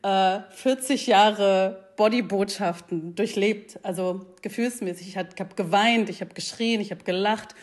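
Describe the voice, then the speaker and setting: A woman's voice: mid-range voice, measured pace, hardly any pauses. Reflective woman in her forties. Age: 40s. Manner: reflective, searching for words with many fillers; mixes in English phrases. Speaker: guest. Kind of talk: casual conversation.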